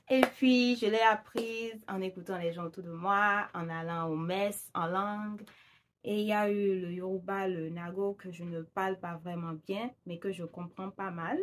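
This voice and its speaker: A woman vlogging to her viewers in a casual, upbeat way, dropping in English words now and then. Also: medium pitch. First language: Fon or Gun. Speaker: language vlogger. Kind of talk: casual direct-to-camera talk.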